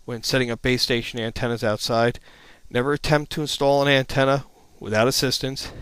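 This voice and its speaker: A man's voice: mid-range voice, little pitch movement, a little fast, a bit bright, clean tone, no room sound. A man narrating an informal slide presentation as an instructor, explaining things in a plain-spoken, matter-of-fact way.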